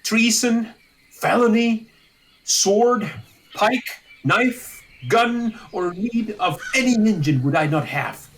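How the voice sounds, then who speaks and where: A man playing a kindly, talkative, dignified old counsellor in a Shakespeare audio drama, with a deliberate, declamatory delivery. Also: high voice, a little hiss or hum. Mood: visionary, expansive.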